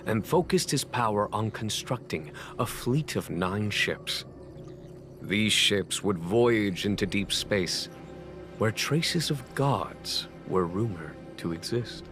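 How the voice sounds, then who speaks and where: An actor playing a calm, wry military general in a video game, speaking in a measured, formal and courteous way. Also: male voice, lowish voice. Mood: solemn storytelling. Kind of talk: scripted video game dialogue. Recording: background music under the voice.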